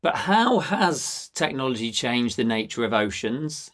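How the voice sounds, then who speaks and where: Musing male podcast host, medium pitch. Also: very animated, unhurried, fairly bright tone. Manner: musing, tentative phrasing with tag questions. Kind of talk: conversational interviewing.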